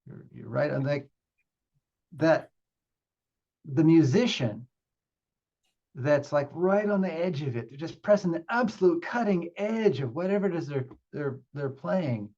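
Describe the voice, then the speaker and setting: Gravelly-voiced male meditation teacher, slightly muffled. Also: fairly high pitch, lively intonation, dark tone. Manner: halting, reflective, explanatory. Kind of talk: unscripted spiritual teaching with group questions.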